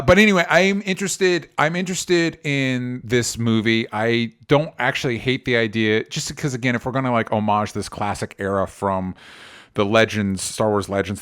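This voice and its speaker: A man co-hosting a movie news podcast, talking informally and thinking out loud as he shares opinions. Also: medium pitch, clear, resonant tone. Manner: informal, rambling, conversational with swearing. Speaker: co-host of a film talk podcast.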